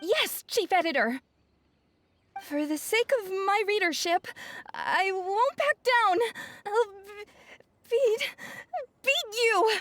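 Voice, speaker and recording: A woman's voice: extremely high pitch, animated, takes it slowly, a bit bright, dry recording, full character voice. A woman voicing a timid, anxious young novelist girl in a video game, nervous and stammering. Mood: tearful resolve.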